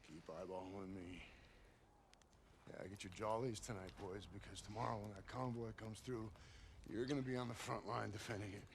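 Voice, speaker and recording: An actor playing a bitter, hostile army sergeant with a gravelly, taunting, aggressive delivery in a war video game. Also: male voice, fairly low pitch, fairly even intonation, normal speed, noisy background. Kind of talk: video game cinematic acting.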